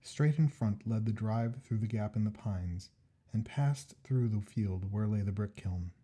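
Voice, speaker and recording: A man reading a classic ghost story aloud as scripted narration, in a measured, expressive manner with deliberate phrasing. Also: on the low side, slightly rough. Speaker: story reader.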